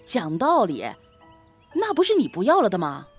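Woman as an animated, petulant young gentleman husband. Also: medium pitch, moderate pace, husky, faint music behind. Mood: indignant. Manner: petulant, self-righteous. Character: exasperated young gentleman husband of an old Chinese household. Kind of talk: audio drama acting.

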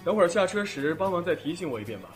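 A man playing a restrained, aloof eighteen-year-old high school senior in a radio drama. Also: big pitch swings, very fast, soft background music. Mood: calm.

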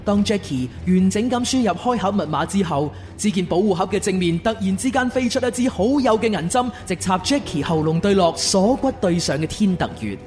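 Man, narrator, high voice, dramatic scripted storytelling, lively intonation, loud music bed. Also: a little fast, few pauses.

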